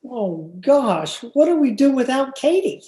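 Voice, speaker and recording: Teasing, easily amused lady friend for radio comedy female actor, unhurried and very lively in pitch, echoey room. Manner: exaggerated, giggly sitcom delivery. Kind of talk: old-time radio sitcom acting. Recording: echoey room over video call.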